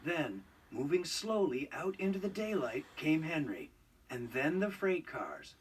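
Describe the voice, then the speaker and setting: Guy reading a kids storybook, super animated, fairly high voice, expressive storyteller. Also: a little slow, recorded from a distance, a little hiss or hum. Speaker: storybook narrator. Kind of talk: scripted children's read-along narration.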